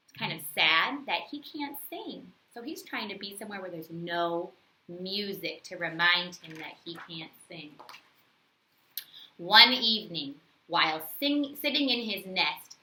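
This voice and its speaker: Very bright, expressive woman storyteller. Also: medium pitch, roomy sound, rough recording. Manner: expressive, teacherly questions with pauses. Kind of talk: bedtime story read-aloud, talking to young children.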